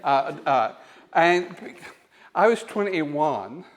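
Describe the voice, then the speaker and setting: A middle-aged man giving an informal church teaching talk, pausing often with ums and now and then laughing along with the group. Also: fairly high pitch, big pitch swings. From born in Scotland, raised in Melbourne. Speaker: guest teacher. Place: church hall.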